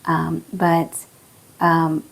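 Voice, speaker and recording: A hoarse woman with a gravelly, low voice talks slowly and softly. She chats relaxed and unhurried, with plenty of little fillers. Her pitch stays flat and even. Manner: relaxed, soft-spoken, many fillers. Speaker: plant channel host. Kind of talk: casual vlog chat while repotting. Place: indoor plant room.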